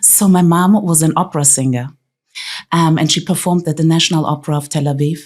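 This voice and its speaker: A woman from Austria, a podcast guest, telling her family's story in halting speech with many pauses. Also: sits low, fairly even intonation, a little mellow. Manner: halting, many ums, laughs easily.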